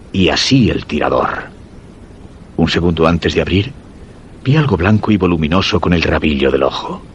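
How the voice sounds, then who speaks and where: An actor playing a weakened, dread-stricken husband narrating a macabre tale in grave, measured Castilian Spanish for a radio horror drama. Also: male voice, low-pitched, a little hiss or hum. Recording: dull radio sound with music underneath.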